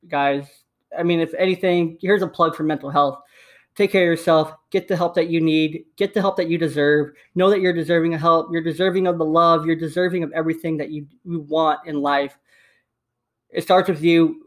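A man in his twenties with a slight lisp hosting a casual solo podcast at home, upbeat and rambling, repeating himself. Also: fairly high pitch. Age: 20s. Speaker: podcast host. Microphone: USB desktop microphone.

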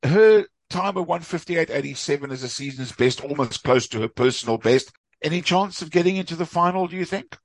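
A man's voice: fairly high pitch, animated, a little fast, dry recording. A man hosting a sports podcast, asking questions and closing the show in a friendly way. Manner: friendly, asks questions, wraps up. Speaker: podcast host.

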